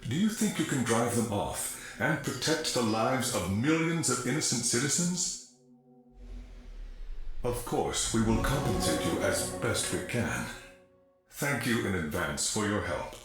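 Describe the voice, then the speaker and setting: A man's voice: mid-range voice, expressive, a little slow, lightly acted. Man playing male official, formal, earnest, grave, video game briefing, strong echo, faint music. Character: earnest, urgent male official pleading for defense. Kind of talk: scripted mission request, video game voice acting. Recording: very echoey, faint music behind.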